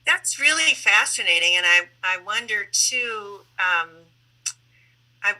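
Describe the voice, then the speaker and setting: A woman's voice: medium pitch, animated, slow pace, bright, forceful tone, low-quality recording. A woman hosting a conversational panel interview over a video call, putting questions to guests. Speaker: interview host.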